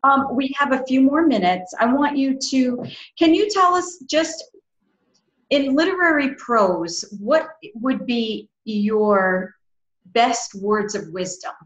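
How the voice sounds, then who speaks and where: A woman's voice: sits high, expressive, slow. A middle-aged woman casually interviewing a guest over a video call from a small room, with roomy, slightly dull audio. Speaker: interviewer, host. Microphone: video call, at desk distance.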